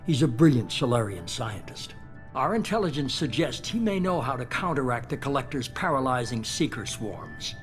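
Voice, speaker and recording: A man's voice: mid-range voice, sing-song, close to an everyday voice. A man playing a calculating, commanding shadowy leader. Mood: cool, commanding. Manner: measured, smooth, authoritative. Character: calculating middle-aged male shadowy organization leader, cool and commanding. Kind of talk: video game dialogue. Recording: game music behind.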